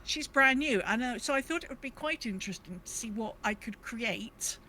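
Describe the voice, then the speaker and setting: A woman's voice: on the high side, animated, normal speed, bright, forceful tone. A woman co-hosting a podcast, chatting casually about gaming in a rambling, self-correcting way. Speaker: podcast co-host.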